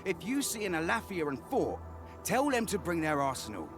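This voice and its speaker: A hot-headed male worker from a video game, pitched high for a man. His delivery is very animated and colloquial, full of excitable bluster, over clear background music. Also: normal speed. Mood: determined, chatty.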